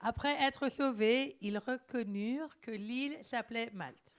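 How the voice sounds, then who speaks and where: Woman interpreting a sermon, halting phrases. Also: on the high side. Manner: halting phrasing with self-corrections. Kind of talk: consecutive live interpreting of a sermon.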